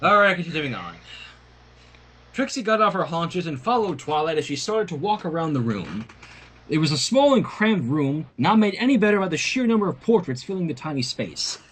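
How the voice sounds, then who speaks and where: A man reading a story aloud in an expressive narrating style, with casual asides. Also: on the high side, normal speed, breathy edge. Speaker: fan fiction reader.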